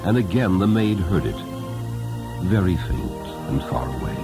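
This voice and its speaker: A man reading a story as a dramatic narrator, solemn, reverent and measured. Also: sits low, animated. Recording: music under the voice. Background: music.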